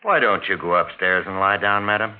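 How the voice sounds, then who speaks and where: An actor playing a formal, solicitous butler, in 1940s radio style. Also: male voice, sits low, fairly quick, clean tone, close to an everyday voice. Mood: soothing. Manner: formal, servile. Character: deferential, solicitous male butler. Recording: narrow tinny 1940s radio broadcast.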